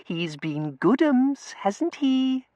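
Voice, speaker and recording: A spoiled young lady of a storybook, very high and swooping wildly. She coos in affected baby talk, fluttery and prissy, every phrase gushing. The recording is close and dry. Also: big pitch swings, extremely slow, dark tone, no echo, lo-fi sound, exaggerated voice. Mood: cooing, coy.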